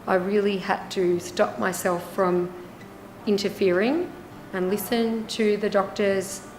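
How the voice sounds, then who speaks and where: A woman's voice: medium pitch, fairly even intonation, slow pace, darkish tone, slight background noise. A woman with an Australian accent, a hospital volunteer speaking reflectively in an interview. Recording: echoey room, music underneath.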